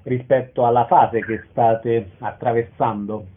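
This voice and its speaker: A man's voice: mid-range voice, steady tone, unhurried, narrow, tinny audio. A man interviewing a guest on the radio, putting orderly, somewhat formal questions, heard in thin phone-band sound.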